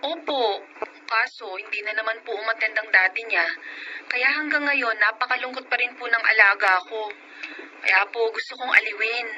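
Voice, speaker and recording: A woman playing a soft-hearted, dutiful young nanny, respectful and earnest, in a Tagalog radio drama. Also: a little high, moderate pace. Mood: sad, respectful. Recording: dull, faintly noisy audio.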